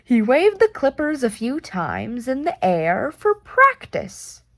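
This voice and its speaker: A woman reads a children's story aloud in a high, very lively voice. She takes her time over each phrase and adds playful, expressive touches for young listeners. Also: no room sound. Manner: animated, deliberate, with playful reactions. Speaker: storyteller reading a picture book aloud.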